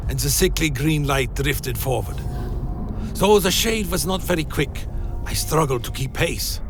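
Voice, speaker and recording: A man playing a brooding vampire who tells his tale in a grave, deliberate, dramatic way, as scripted gothic radio play narration. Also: mid-range voice, creaky. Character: Alaric the Damned, brooding male vampire telling his tale. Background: music.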